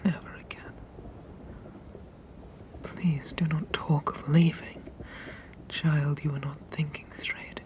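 A man voicing a protective, possessive sea monster father in a hushed, soothing ASMR roleplay with formal phrasing. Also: fairly high pitch, level tone, slow pace, pauses often. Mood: pleading, possessive.

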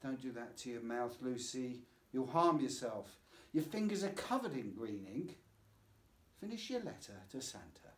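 A man's voice: medium pitch, expressive, a little slow, natural voice. A man voicing a practical, caring mother who chides and reasons firmly in a children's storybook. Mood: firm chiding. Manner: chiding, reasoning. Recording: roomy, echoing voice.

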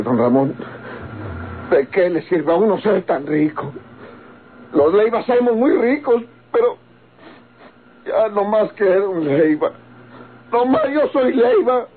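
An actor playing a rich, aggrieved Mexican rancher in an old radio drama, husky and rustic in his grumbling delivery. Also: high-pitched, lively intonation, measured pace, long pauses, phone-quality sound, full character voice. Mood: bitter, aggrieved. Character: rich, aggrieved rancher under suspicion, rough and bitter. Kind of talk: golden-age Mexican radio drama acting.

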